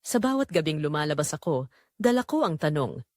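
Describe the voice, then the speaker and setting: A woman playing a poor, devoted mother burdened by guilt, narrating an audio drama in an earnest, measured, confessional way. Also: sits low, big pitch swings, a little mellow, no room sound, studio quality. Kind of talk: scripted dramatic first-person narration.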